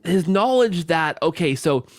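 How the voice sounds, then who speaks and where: A man with a fairly high voice hosts an interview podcast in a dry, close sound. He talks conversationally, circling and rephrasing his thoughts, and often checks for agreement at the end of a point. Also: lively intonation, no room sound. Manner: halting, rephrases, often seeks agreement with tag questions. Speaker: podcast host.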